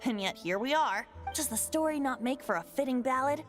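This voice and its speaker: A woman's voice: sits high, lively intonation, fairly quick, faint music behind. A woman voicing a playful, mischievous young male bard in a video game, with a teasing, theatrical way of talking. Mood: sly, musing. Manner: theatrical, teasing, grandiose phrasing.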